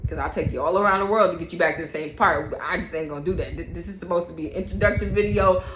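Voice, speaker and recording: A woman's voice: fairly low pitch, rapid-fire, roomy sound, slight background noise. A woman hosting her own faith-based mom podcast, talking casually to camera, chatty and often laughing, repeating herself for emphasis. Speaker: podcast host and mother.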